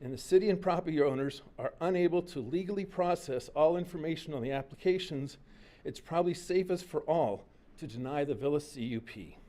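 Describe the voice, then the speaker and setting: A man's voice: a little high, brisk, dark tone. A man reading a formal prepared public comment at a city planning meeting.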